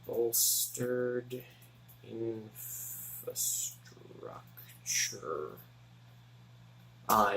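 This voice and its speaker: A young man from Texas, a student, mutters to himself in a halting, casual way as he works through his notes. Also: on the low side, pushed and bright, slight background noise, rough recording. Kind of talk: casual study vlog, thinking aloud.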